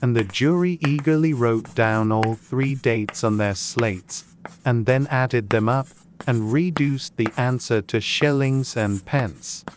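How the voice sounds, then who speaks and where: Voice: mid-range voice, slow pace, a little hiss or hum. An audiobook narrator reading a scripted storybook.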